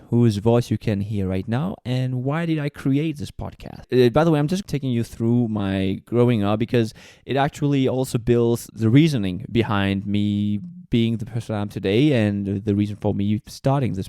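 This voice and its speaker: A man's voice: mid-range voice, mellow, no room sound, clean studio sound. A young man from Mauritius hosting a solo podcast, talking earnestly and conversationally with frequent fillers and restarts. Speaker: podcast host.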